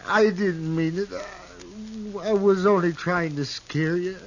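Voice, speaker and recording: A scared simple cowboy kid, stammers, talks slow, old muffled radio. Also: male voice, sits high, creaky, full character voice. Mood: tearful, afraid. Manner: halting, stammering, pleading. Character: timid, frightened, simple young cowboy outlaw. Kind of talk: 1950s radio western acting. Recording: dull lo-fi old radio recording, faint noise.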